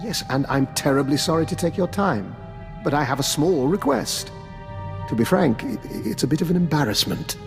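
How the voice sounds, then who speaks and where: An actor playing an urbane, calculating old doctor and veteran spy in a video game, with a refined, courteous, understated manner. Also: male voice, on the high side, expressive, moderate pace, gravelly, big-room sound, music in the background. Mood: apologetic, concerned. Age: older. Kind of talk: video game dialogue acting.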